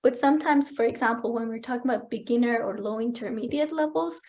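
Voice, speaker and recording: A woman whose first language is Persian, giving a keynote answer on a video call in a lively, explanatory way. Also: on the high side, quick pace. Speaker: keynote speaker. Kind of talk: conference talk answering questions.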